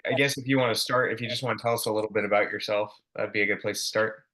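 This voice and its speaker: A young man hosts a podcast, chatting in a loose, relaxed way with plenty of ums and restarts. His voice sits somewhat low but sounds bright. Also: very fast. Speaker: podcast host. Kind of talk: casual interview chat.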